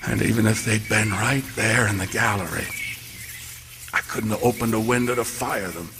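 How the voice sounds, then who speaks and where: An actor playing a haunted lighthouse keeper who tells his ordeal in a radio play, with gravelly dramatic storytelling that drops to hushed tones. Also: on the low side, moderate pace, long reverb tail, background noise, natural voice. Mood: grim. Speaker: narrator and lead actor. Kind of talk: live radio theatre.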